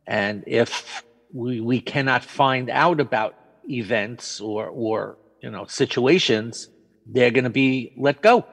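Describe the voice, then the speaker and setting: A male spokesman makes halting remarks at a very slow pace. His voice is creaky and gravelly, recorded with a long reverb. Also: mid-range voice, fairly bright tone. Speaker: press photographers' association president. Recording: reverberant room.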